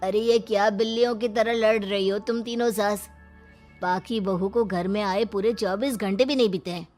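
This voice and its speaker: A woman's voice: mid-range voice, fairly even intonation, moderate pace, darkish tone, natural voice. Cartoon family peacemaker acted by a woman: mid-range voice, firm, scolding, faint music behind. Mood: exasperated, firm. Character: exasperated family member breaking up a squabble.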